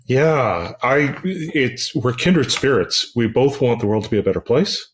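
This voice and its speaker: A man's voice: mid-range voice, expressive, unhurried. A man with a mid-range voice hosts the interview. He speaks briefly, mostly with affirming replies that draw out his guest. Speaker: podcast host. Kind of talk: interview conversation.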